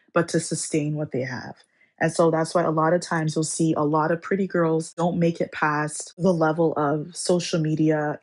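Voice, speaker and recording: A woman hosting her channel, raspy from a cold, giving advice in a measured lecturing way that lists points one by one. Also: low voice, a little mellow. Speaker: channel host. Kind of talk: advice monologue to camera.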